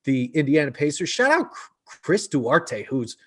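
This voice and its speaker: A man co-hosting a sports podcast, playful and upbeat, tossing out jokey shout-outs. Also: fairly high pitch, sing-song, unhurried, breathy edge. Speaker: podcast co-host. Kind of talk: casual sports chat.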